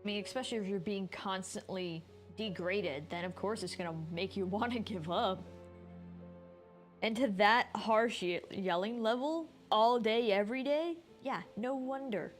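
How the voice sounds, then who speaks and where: A woman's voice: mid-range voice, normal speed. A woman game streamer giving casual live commentary as she plays. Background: game music.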